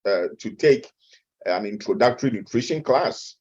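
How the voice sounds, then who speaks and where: A middle-aged man with a Ghanaian accent, a guest expert answering interview questions slowly, with frequent hesitant fillers. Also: lowish voice, animated, a little mellow, slightly rough, lo-fi sound. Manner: measured and slow, with many hesitant "uh" fillers. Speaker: guest expert, nutrition professor.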